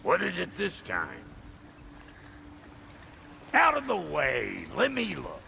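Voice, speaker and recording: Gravelly, wildly animated dwarf blacksmith. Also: male voice, sits high, takes it slowly, a little hiss or hum, heavily acted. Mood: exasperated. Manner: folksy, brash, colorful grumbling. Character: gruff, foul-mouthed, excitable male dwarf blacksmith. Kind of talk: video game cutscene acting.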